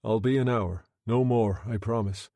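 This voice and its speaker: A man voicing a calm, quietly menacing Irish gentleman who speaks in a soft, conversational tone. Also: on the low side, normal speed, no echo, lightly acted. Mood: quiet menace.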